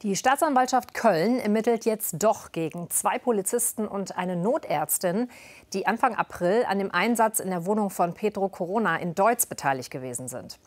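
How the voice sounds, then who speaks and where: A woman reads regional news in a clear, conversational manner, speaking at a middle pitch. Her delivery loosens a little into everyday talk between items. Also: moderate pace. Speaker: news presenter.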